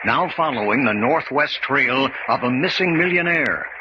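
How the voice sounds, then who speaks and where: A man's voice: medium pitch, lively intonation, moderate pace, very noisy. A man reading dramatic scripted announcements as a radio announcer. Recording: dull lo-fi radio recording. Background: loud organ music.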